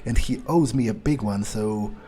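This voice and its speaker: A man's voice: fairly low pitch, normal speed, dark tone, slight background noise, close to an everyday voice. A man voicing a scheming, condescending vampire in an improvised game playthrough, thinking aloud with hesitations. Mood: dismissive, calculating. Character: scheming, condescending male vampire, reluctant servant of a prince.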